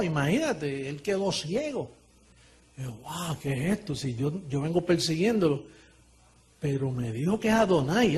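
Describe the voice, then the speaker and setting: A high-voiced man preaches a sermon to a congregation. He swings from low, quiet asides to loud shouted points and often laughs between phrases.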